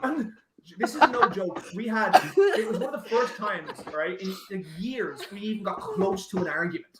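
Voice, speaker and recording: A man's voice: sits high, expressive, moderate pace, recorded from a distance. A man telling a jokey story as a guest on a casual live chat. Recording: echoey room with loud noise.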